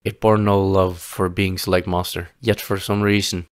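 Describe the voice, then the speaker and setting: A man narrating a fantasy story as a scripted audio play, with a solemn, measured, literary storytelling delivery. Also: sits low, flat delivery, clear, resonant tone, no echo. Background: music.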